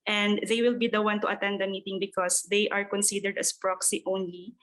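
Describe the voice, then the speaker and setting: A young Filipino woman, Tagalog her first language, talks earnestly over a video call. Her pitch sits in the middle, and she drops Tagalog words into her English as she explains how her programs work. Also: flat delivery, fairly quick, a bit bright. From Philippines. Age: young adult. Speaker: speaker from an agriculture organization. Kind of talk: webinar presentation answer.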